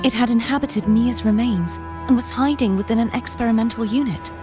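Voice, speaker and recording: An actress playing a solemn, courteous mystical warrior woman in a video game, speaking in a formal, measured, grave way. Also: a little high, close to an everyday voice. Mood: grave, composed. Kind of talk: scripted game dialogue. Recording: studio voice under prominent game music.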